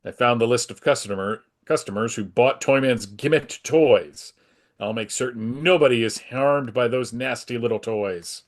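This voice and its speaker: A man's voice: mid-range voice, moderate pace, close to an everyday voice. A man playing a confident, polite comic book superhero, earnest and composed, in a dramatic table read. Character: heroic, polite, confident adult male superhero.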